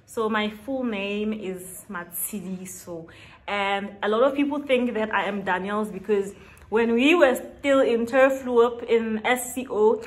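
A woman's voice: mid-range voice, a little slow. A woman in her late twenties chatting casually to camera in a get-to-know-me vlog, laughing often and stretching words for emphasis. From South Africa. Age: 20s. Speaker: YouTuber. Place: small indoor room with shelves.

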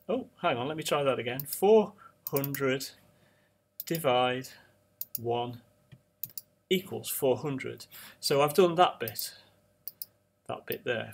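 A man teaching audio engineering, talking through each calculator step in a relaxed screen-recorded tutorial. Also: mid-range voice, slightly rough.

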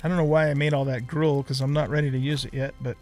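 A man's voice: mid-range voice, level tone, quick pace, a little mellow, faint music behind. A middle-aged man giving casual let's play commentary, thinking aloud as he plays. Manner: talks to himself, thinking aloud.

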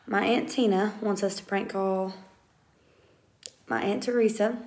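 A woman's voice: mid-range voice, steady tone, a little slow, a little mellow. A young woman with a Southern US accent talking casually and politely, recorded on a phone at home. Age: young adult. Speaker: family vlogger making a prank call. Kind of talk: casual, polite phone talk and chat to camera. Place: small room at home. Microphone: phone at arm's length.

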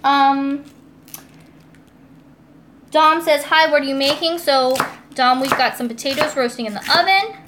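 A woman's voice: sits high, unhurried, long pauses. A young American woman chatting casually and giggly as she hosts a cooking live stream and reads comments aloud. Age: young adult. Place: indoors in a home kitchen. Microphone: phone or tablet, a short distance away. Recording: noisy kitchen live-stream audio.

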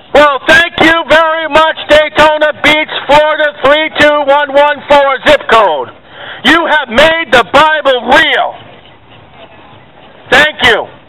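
A man preaching in the open air, voice pitched very high as he declaims and scolds the passing crowd. Street noise and cars run clearly behind him. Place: outdoors at a farmers market.

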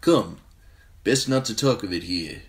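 A man reading an audiobook, voicing a grim, loyal knight who speaks in a measured, terse way. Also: fairly low pitch, lively intonation, voice pushed up.

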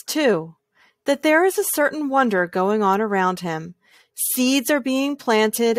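A woman reads a children's story aloud with a calm, gentle delivery. Her mid-pitched voice moves in deliberate, measured phrases with small pauses. The sound is close and dry, without room echo. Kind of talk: calm children's picture book read-aloud.

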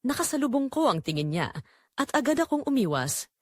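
A woman's voice: on the high side, very animated, a little fast, no room sound, clean studio sound. A woman playing a guilt-ridden married mother confessing a secret affair, narrating in the first person in an emotive, confessional manner. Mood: guilty, troubled.

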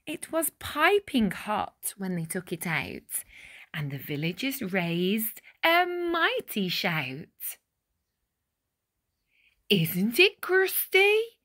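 A woman reading a rhyming children's storybook aloud with deliberate, dramatic phrasing. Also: mid-range voice, sing-song, a bit bright. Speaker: picture book reader.

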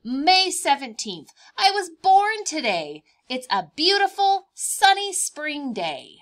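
A woman voicing a cheerful, boastful young praying mantis in a picture-book read-aloud, expressive and emphatic, stretching out words. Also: sits high, takes it slowly, voice pushed up, full character voice. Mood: excited, wide-eyed.

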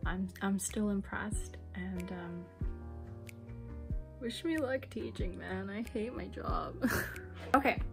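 A woman's voice: mid-range voice, slow, gravelly, pauses often. Young woman, beauty vlogger, frank casual delivery with swearing, voice a bit worn, faint music bed. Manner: candid, swears freely, drops to whispers. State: losing her voice. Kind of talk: casual vlog chat.

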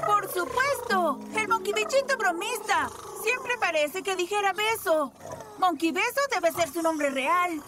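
A woman's voice: extremely high pitch, expressive, unhurried. A woman voicing an eager, clever little cartoon monkey child with an earnest manner. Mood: excited. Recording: loud music behind the voice.

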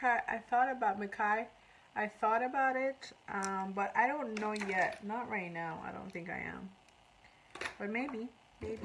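A woman's voice: mid-range voice, heavy background noise. A woman in her thirties from Iran, chatting casually on a live makeup tutorial and reading out viewer comments while she works. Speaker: makeup artist. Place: small indoor room before a curtain.

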